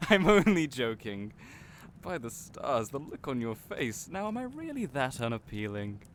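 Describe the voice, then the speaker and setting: A man's voice: medium pitch, sing-song, normal speed, a bit bright. A man playing a friendly, teasing young innkeeper in a fantasy audio roleplay, chatty and playful, speaking right to the listener. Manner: chatty, playful, genial storyteller. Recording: noisy storm and fire ambience behind. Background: storm and crackling fire ambience.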